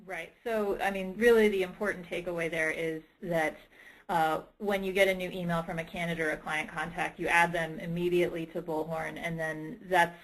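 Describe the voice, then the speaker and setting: A woman with a slightly low-pitched voice takes part in a training session. She speaks conversationally, adding clarifications in plain everyday language. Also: steady tone, moderate pace, rough recording.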